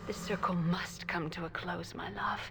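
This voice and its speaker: Sad ghost lady from a game, low breathy whisper, slow n solemn, noisy ambience behind. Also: steady tone. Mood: mournful tenderness. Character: sorrowful, loving female ghost, prophetic and ethereal. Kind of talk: video game voice acting.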